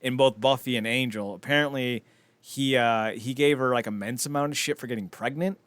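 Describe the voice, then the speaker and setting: A man's voice: medium pitch, steady tone, fairly quick, a bit bright, no room sound. A man chatting casually as a podcast host, rambling, opinionated and freely profane. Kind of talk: casual podcast chat about films and entertainment news.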